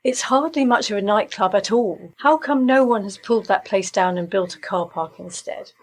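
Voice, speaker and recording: Blunt, wry woman of middling pitch, acted. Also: moderate pace, natural voice. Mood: wry, pressing. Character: blunt, pragmatic, wry sister giving pushy advice. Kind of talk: radio play acting.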